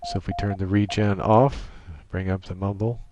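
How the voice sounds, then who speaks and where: A man giving an informal, matter-of-fact technical software walkthrough as he demonstrates a setup. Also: on the low side, fairly even intonation, normal speed, lo-fi sound. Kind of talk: informal screen-share tutorial narration. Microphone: desktop microphone monitored through computer audio. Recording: clearly noisy home microphone recording.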